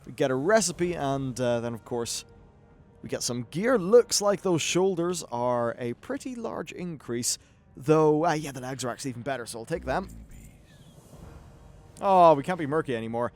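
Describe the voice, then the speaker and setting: A man's voice: mid-range voice, lively intonation, normal speed, faint music behind. A man giving casual, off-the-cuff video game commentary as host, chatty and wry, with some swearing.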